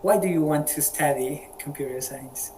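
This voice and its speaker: Colombian man moderating, halting and repetitive. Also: a little high, warm tone, a little hiss or hum, poor audio. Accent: Colombian Spanish. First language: Spanish. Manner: friendly, halting with frequent word repeats. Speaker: meeting moderator. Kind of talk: casual online group chat. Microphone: video call.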